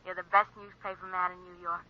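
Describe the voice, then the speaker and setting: An actress playing an anxious, devoted sweetheart on the telephone in a 1940s radio melodrama. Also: female voice, fairly low pitch, level tone, quick pace, close to an everyday voice. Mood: anxious, loving. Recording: muffled, hissy 1940s radio telephone call.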